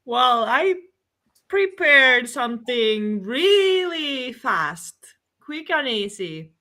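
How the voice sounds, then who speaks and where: Woman, from Finland, easygoing, slow speech, bright tone. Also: high-pitched, sing-song. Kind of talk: casual video call chat. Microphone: video call.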